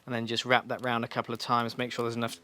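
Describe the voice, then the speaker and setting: Bright-voiced man giving a hands-on tutorial. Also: mid-range voice, completely flat delivery, fast. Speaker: motorcycle shop staff giving a fitting tutorial. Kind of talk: hands-on instructional demonstration, informal.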